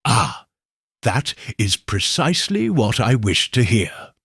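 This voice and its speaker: A man voicing a composed, sharp-witted detective who talks low and measured. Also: sing-song, breathy edge, no echo, clean studio sound, heavily acted.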